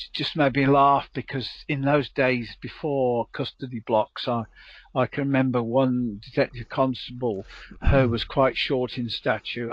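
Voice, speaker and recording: Older guy in his 70s rambling through old police stories, kinda muffled lo-fi audio. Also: mid-range voice. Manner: rambling, anecdotal, with many restarts. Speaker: guest, UFO researcher and retired detective. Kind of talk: casual chat.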